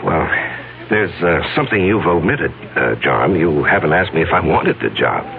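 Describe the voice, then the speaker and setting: An actor playing a troubled younger executive in a radio drama, polite but distracted, evasive and halting. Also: male voice, deep voice, sing-song, vocal fry, crowd noise, heavily acted. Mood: uneasy. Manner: hesitant, halting. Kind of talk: 1970s radio drama acting. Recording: tinny old radio recording, background bustle.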